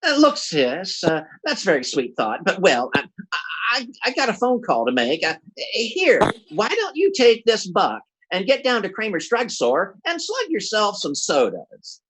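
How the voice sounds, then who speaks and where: A man's voice: high voice, wildly swooping pitch, unhurried, a bit bright, husky, full character voice, rough recording. A man acts out a blustering radio-comedy husband full of big schemes. His delivery swings widely up and down as he stammers, boasts and muddles along in a folksy 1940s manner. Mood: flustered.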